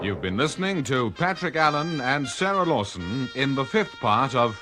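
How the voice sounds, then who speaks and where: A man's voice: medium pitch, sing-song, moderate pace. A man, reading scripted announcements as a radio drama announcer. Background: music.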